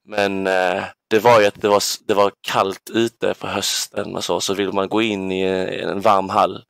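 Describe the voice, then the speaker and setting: Man in his twenties, gravelly low voice, narrow intonation, thoughtful, hesitant, casual interview recollection. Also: little pitch movement, fairly bright tone, no room sound. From Ängelholm, southern Sweden. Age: 20s. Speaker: podcast guest, basketball player.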